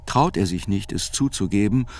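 A man reading a scripted literary chronicle as narrator, in a measured, deliberate and articulate way. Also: lowish voice, darkish tone, faint music behind.

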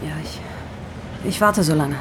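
An actress playing a guarded young woman on the run, speaking haltingly in a naturalistic radio drama. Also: deep voice, noisy background. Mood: disoriented, evasive.

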